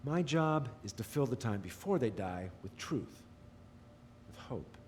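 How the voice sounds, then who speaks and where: An actor playing a grave, theatrical propaganda officer and radio announcer, measured and rhetorical, in a game audio drama. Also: male voice, mid-range voice, lively intonation, darkish tone, a little hiss or hum, lightly acted. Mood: grave, bitter.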